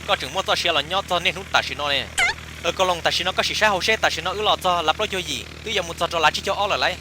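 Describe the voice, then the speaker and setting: High-pitched guy doing chatty funny cartoon dialogue, talks quick, noisy homemade recording.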